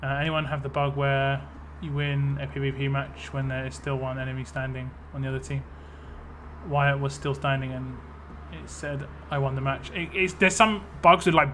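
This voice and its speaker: A man's voice: mid-range voice, fairly bright tone. A man casually chatting on a live stream, reading viewer comments aloud and answering them as he plays. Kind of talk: casual live stream chat while playing a mobile game.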